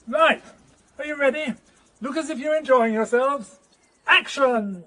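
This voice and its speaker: An actor playing a fussy, bossy amateur film-maker calling out directions in a radio drama. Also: male voice, very high voice, measured pace, bright, forceful tone, full character voice, rough recording. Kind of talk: British radio detective drama.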